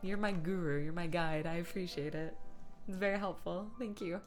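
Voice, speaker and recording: A woman with a fairly low voice chats casually on a livestream as she works. She talks herself through each small step in short, offhand asides. Faint music plays behind her. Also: normal speed. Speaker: streamer. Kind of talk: casual livestream chat while editing images.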